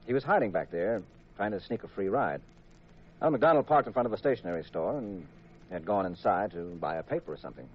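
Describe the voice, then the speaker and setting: Clever American detective in old radio mystery, husky storyteller, muffled period recording. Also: male voice, fairly low pitch, animated, fairly quick. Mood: steady recounting. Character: shrewd, composed male amateur detective, husband. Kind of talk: 1950s radio mystery acting.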